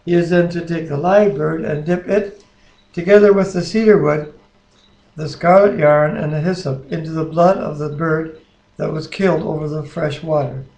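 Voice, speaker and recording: A man with a slightly high voice speaks as co-host of a home Bible reading. His manner is earnest, reverent and plain. The webcam microphone picks up a very echoey room around him. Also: steady tone, normal speed, vocal fry, a little mellow. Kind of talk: casual devotional talk, prayer and scripture reading. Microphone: webcam microphone at a distance.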